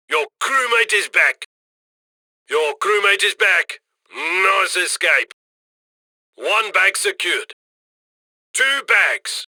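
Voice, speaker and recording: A man's voice: high-pitched, bright, forceful tone, no room sound, studio quality, exaggerated voice. An actor playing a cocky, chummy male heist handler in a video game, with a wry, folksy, upbeat manner. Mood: impressed, playful. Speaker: mission handler.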